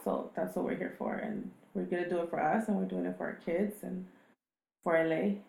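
Blunt female co-owner, gravelly, echoey room, straight talk. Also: fairly low pitch, wildly swooping pitch, fairly quick, darkish tone. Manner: blunt, matter-of-fact. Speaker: restaurant co-owner. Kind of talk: casual interview talk.

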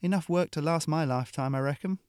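A man voicing a big, brutal female mercenary who sneers and taunts, in a high put-on voice. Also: quick pace, darkish tone, dry recording, clean studio sound, lightly acted. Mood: contemptuous.

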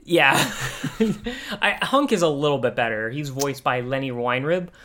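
A man co-hosting a podcast, thinking aloud in halting, casual commentary on pop culture. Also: mid-range voice, expressive, pushed and bright. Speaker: podcast co-host.

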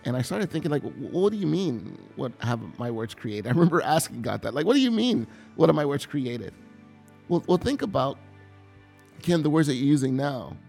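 A man giving an earnest, confessional sermon testimony, often halting and repeating words as he speaks. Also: mid-range voice, very animated, gravelly, darkish tone, soft background music.

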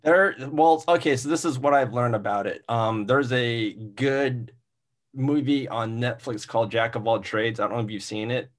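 A man's voice: mid-range voice, normal speed. Laid-back comedian in his forties. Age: 40s. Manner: relaxed rambling storyteller. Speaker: stand-up comedian, podcast guest. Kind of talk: casual interview chat. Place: small converted walk-in closet. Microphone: video call.

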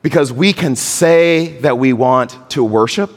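Slow-speaking pastor in a reverberant hall. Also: male voice, mid-range voice. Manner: slow, earnest preaching with drawn-out phrases. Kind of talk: sermon. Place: church sanctuary.

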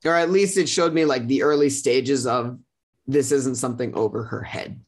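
A man's voice: medium pitch, moderate pace. A man sharing a personal experience as a guest, casual but earnest and emphatic. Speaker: guest sharing his relationship story.

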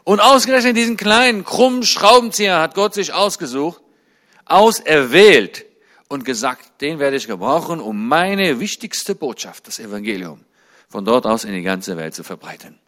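A man in his forties giving a sermon-style talk to a church congregation. He chats in a friendly way, then grows fervent and climbs high and loud on his main points. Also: animated, moderate pace. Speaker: guest speaker at a church.

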